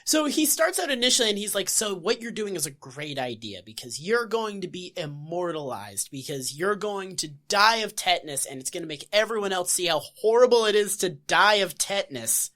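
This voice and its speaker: A woman's voice: on the low side, a little fast, hardly any pauses. Woman casually recapping a show. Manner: animated retelling with put-on quotes, profane, laughing. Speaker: podcast co-host. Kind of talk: casual chat recapping a TV episode.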